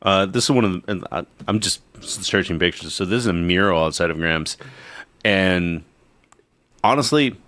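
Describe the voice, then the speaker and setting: A man's voice: deep voice, moderate pace, a bit bright. A young man chatting casually as a podcast co-host, laughing often, swearing freely, and restarting his sentences. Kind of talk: casual chat.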